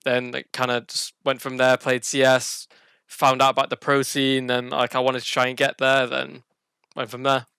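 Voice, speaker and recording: A man's voice: mid-range voice, completely flat delivery, moderate pace, gravelly, pushed and bright, clean tone, no room sound, clean studio sound. A young man with a northern English accent chatting casually as a podcast guest. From Burnley, northern England. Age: young adult. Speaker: professional esports player, guest. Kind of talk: casual chat.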